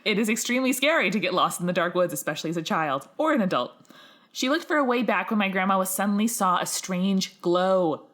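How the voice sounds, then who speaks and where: A woman's voice: mid-range voice, lively intonation, brisk, a bit bright. A woman co-hosting a podcast, reading a listener's story aloud expressively and chatting casually with informal asides. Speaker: podcast co-host.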